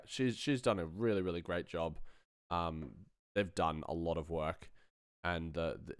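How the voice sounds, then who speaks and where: A man's voice: deep voice, clear, resonant tone, no room sound, studio quality. A man hosting a live stream, chatting informally and thinking aloud as he works on sound design. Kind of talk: casual tutorial chat while working.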